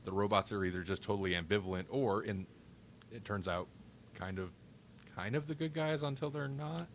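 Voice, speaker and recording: Low-voiced man podcasting, noticeable background noise. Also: moderate pace. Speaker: podcast co-host. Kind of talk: casual discussion and review.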